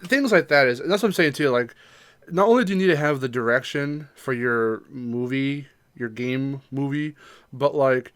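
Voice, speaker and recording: A man's voice: medium pitch, normal speed, fairly bright tone, no room sound. Man chatting emphatically, dry close sound. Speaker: podcast co-host. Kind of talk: casual discussion podcast chat.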